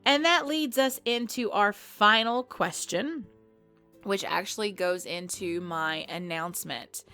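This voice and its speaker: A woman's voice: mid-range voice, a little slow, sharp tone, faint music behind. A woman hosting her channel's subscriber Q&A, chatty, playful and self-deprecating. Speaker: ASMR channel host. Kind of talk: casual subscriber Q&A.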